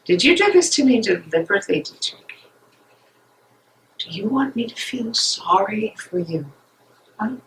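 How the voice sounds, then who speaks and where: A woman's voice: mid-range voice, expressive, normal speed, pauses often, close to an everyday voice. A woman playing an emotional young German fiancée of an imprisoned dissident in a dramatic stage reading. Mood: accusing, bitter. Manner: emotional, accusing, swings to tender. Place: library meeting room, live reading. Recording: echoey room, noisy with clicks.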